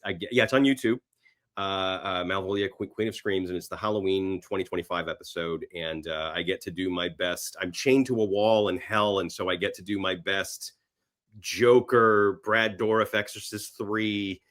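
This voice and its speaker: A man with a fairly low voice speaks as a guest in a relaxed interview. His delivery is conversational and unscripted, with a self-deprecating, joking streak. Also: moderate pace. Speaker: podcast guest; actor and screenwriter. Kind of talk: casual interview chat.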